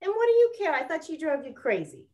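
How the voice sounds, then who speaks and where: A woman playing a very high-voiced, excitable lady. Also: fast. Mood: incredulous, curious. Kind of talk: period comedy radio-play acting.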